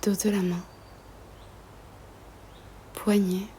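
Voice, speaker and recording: A woman guiding a yoga nidra meditation in a soft, breathy, half-whispered voice, soothing and gentle, with long pauses between phrases. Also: medium pitch, little pitch movement, a little hiss or hum.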